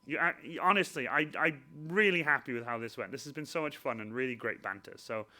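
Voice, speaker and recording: A man's voice: medium pitch, fast, bright, forceful tone. A young man hosting a casual live stream, chatty and self-mocking, tripping over names and laughing often. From Wales.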